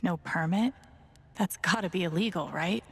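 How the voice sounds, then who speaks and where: A wary young woman thinks aloud through an investigation in a video game. Her voice is soft-edged and husky with breath, her manner hushed, wry and determined. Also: fairly low pitch, normal speed, exaggerated voice. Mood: wry, indignant. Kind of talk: naturalistic video game acting.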